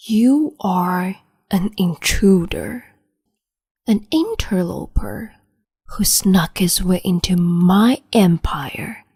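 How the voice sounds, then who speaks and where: A woman voicing a cruel, capricious empress in an ASMR roleplay, speaking slowly and haughtily in a half-whisper close to the microphone. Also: on the low side, a little mellow, husky, dry recording, full character voice.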